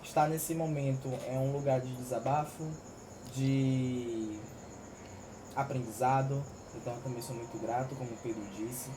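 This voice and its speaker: A man's voice: mid-range voice, steady tone, moderate pace, noisy background. A man, introducing himself on a podcast in a laid-back, grateful way. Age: 20s. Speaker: student, podcast member. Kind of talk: casual chat among friends.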